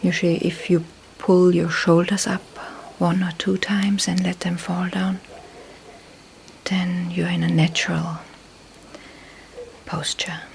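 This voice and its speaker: A woman leading a guided meditation in a soft, breathy near-whisper, calm and unhurried, with long pauses between short phrases. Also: lowish voice, a little hiss or hum. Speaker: meditation teacher. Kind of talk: slow guided meditation instruction.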